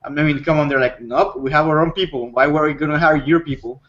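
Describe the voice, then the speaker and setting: A man's voice: on the high side, fairly even intonation, fast talker, a bit bright. A man talking casually and enthusiastically as a panelist in a group fan discussion. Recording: dull lo-fi recording.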